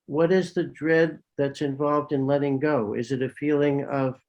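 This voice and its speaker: A man's voice: fairly high pitch, steady tone, normal speed, lo-fi sound. A psychiatrist, a man, answering questions thoughtfully over a video call, often repeating words as he thinks. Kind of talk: answering questions in an online talk.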